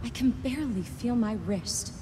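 An actress playing a weary girl who wants solitude, speaking softly and tiredly in a video game. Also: fairly high pitch, moderate pace, close to an everyday voice. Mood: weary, withdrawn. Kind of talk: video game acting, echoing memory. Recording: long reverb, faint music behind.

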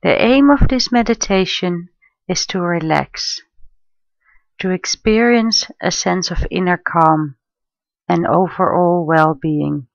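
A woman guides a meditation at a very slow pace, with long pauses between gentle phrases. Her delivery is soothing and unhurried. The recording is dry and a little dull. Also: fairly low pitch, vocal fry, dry recording. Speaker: meditation guide, life coach and therapist.

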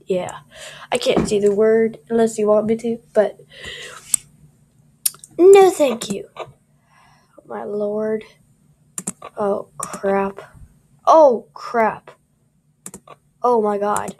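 A woman's voice: mid-range voice, extremely slow, long pauses, dark tone. A young woman with a scratchy voice doing casual gameplay commentary, jittery and cursing as she talks to viewers.

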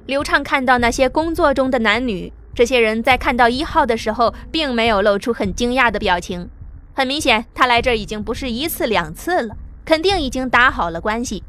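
A woman giving scripted audiobook narration with an even storytelling delivery. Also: a little high, fairly quick.

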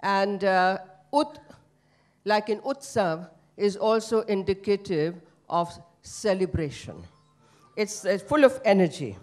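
A woman's voice: mid-range voice, lively intonation, slow pace, a little mellow. An older woman whose first language is Gujarati speaks into a handheld microphone in a conference hall, giving conversational panel remarks with many fillers. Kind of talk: panel remarks at a book launch.

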